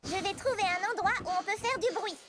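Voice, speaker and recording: Very high, excitable young girl train engine. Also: brisk, very noisy. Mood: eager. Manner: exuberant, exclaiming, playful. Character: cheerful, excitable female young train engine who loves noise. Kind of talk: children's cartoon dub acting. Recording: loud children's music behind the voice.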